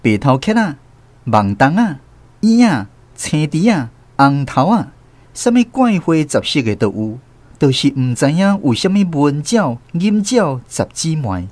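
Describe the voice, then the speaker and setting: A man's voice: fairly high pitch, big pitch swings, unhurried, a little mellow, dry recording. A man with a Taiwanese accent playing a storyteller who recalls his village childhood in a radio drama. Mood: reminiscent, animated storytelling.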